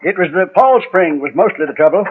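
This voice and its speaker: An American actor playing a folksy, chatty but firm frontier gunsmith in a Western radio drama. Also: male voice, sits high, expressive, fairly quick. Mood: friendly, chatty. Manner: folksy, drawling frontier phrasing. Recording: dull vintage radio recording, hiss.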